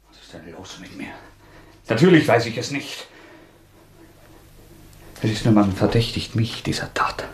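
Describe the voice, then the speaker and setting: An actor in a German radio play playing a haunted, taunting fugitive murderer, halting and erratic in his delivery. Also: medium pitch, slight background noise, lightly acted. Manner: erratic, halting, needling, swinging from muttering to agitation.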